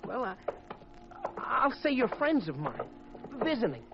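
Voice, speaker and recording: An actor playing an earnest, nervous young sailor in an old radio drama, speaking urgently on a muffled, noisy recording. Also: male voice, very high-pitched, huge pitch swings, a little slow, full character voice. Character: anxious, earnest young sailor mixed up in trouble. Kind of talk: 1940s-50s radio drama acting.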